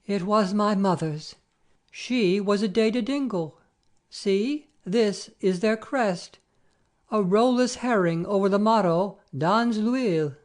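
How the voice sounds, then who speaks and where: Romantic young nobleman a woman acts him, unhurried, grave and grandly formal, for storybook drama. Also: mid-range voice, a little mellow, natural voice. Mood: grave, courtly. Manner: solemn, stately, formal. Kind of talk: dramatic audiobook reading.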